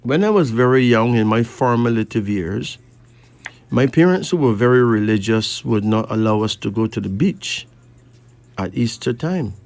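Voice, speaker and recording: A man from Barbados with a Caribbean accent, hosting a late-night radio show in a measured, deliberate way with pauses between phrases. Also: mid-range voice, lively intonation, darkish tone, dry recording. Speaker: radio host giving readings to callers. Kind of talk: late-night radio talk, slow monologue and caller chat. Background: faint music.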